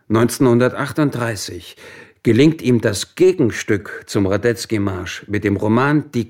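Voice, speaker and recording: A sober male narrator, delivers scripted radio play narration. His voice sits fairly low, and his delivery is formal and factual. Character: sober male documentary narrator of a writer's life.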